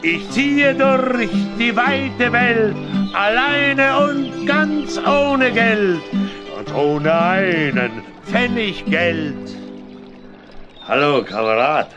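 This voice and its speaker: Jovial old vagabond for a children's radio play: folksy, boastful, slow-paced, very animated intonation. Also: male voice, very high voice, over music, exaggerated voice. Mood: carefree singing. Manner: folksy, hearty, exclamatory.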